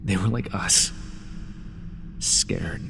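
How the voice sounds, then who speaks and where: A man performs a fallen god living as a mortal in a fantasy audio drama. His voice is gravelly and fairly low, earnest, wounded and reproachful. It rings in a long hall-like reverb. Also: very slow, exaggerated voice. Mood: anguished. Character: grieving, devoted fallen god in mortal form. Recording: reverberant hall effect, faint noise.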